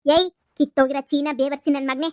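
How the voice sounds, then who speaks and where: A woman playing a brash, quick-tempered village mother who scolds in rapid-fire bursts. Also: sits high, fairly even intonation, no room sound, studio quality, close to an everyday voice. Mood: scolding. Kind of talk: comedy sketch acting.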